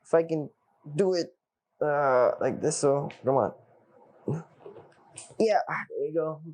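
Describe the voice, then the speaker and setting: A man's voice: a little high, slow pace, darkish tone. Chatty Filipino man reviewing a chair. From Philippines. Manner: loose and chatty, addresses viewers as guys, frequent uh fillers. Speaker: product reviewer. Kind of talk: unscripted casual product review. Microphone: camera mic.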